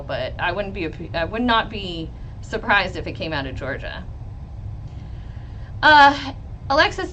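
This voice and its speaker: A woman lawyer with a loud voice, hosting a live stream about a trial and reading chat questions. She talks bluntly, swears freely and laughs often. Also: medium pitch, a little hiss or hum, rough recording. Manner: blunt and profane, with frequent laughs. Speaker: lawyer and legal commentator.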